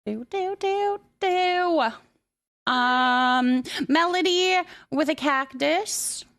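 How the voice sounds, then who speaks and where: A woman's voice: sits high, expressive, drawn-out words, fairly bright tone. A woman hosting a livestream, chatting casually and greeting viewers in a bubbly, affectionate, chatty way. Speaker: live streamer hosting.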